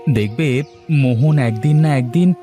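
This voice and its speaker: A man's voice: medium pitch, a little slow, warm tone, soft background music, close to an everyday voice. A man playing a dutiful young farmer's son in a cartoon fairy tale, earnest and coaxing. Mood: reassuring.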